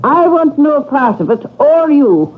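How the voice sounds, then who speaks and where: An actress playing a devoted, emotional long-serving Irish housekeeper in a radio drama, with an effusive, pleading manner. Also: female voice, sits high, very animated, measured pace, phone-quality sound. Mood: bitter, resolute. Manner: pleading, effusive, endearing address. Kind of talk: old-time radio drama acting. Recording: thin, noisy old radio broadcast.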